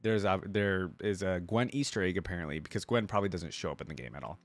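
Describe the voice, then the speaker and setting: A man hosting a casual reaction video, rambling and thinking out loud with enthusiasm. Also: sits low, fast. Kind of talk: casual reaction commentary, reading aloud.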